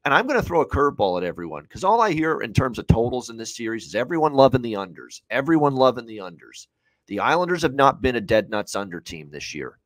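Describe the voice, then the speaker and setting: A man's voice: mid-range voice, very animated, fast, no room sound, poor audio. A man in his thirties giving casual, opinionated sports betting analysis, repeating points for emphasis. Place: indoor room on a video call.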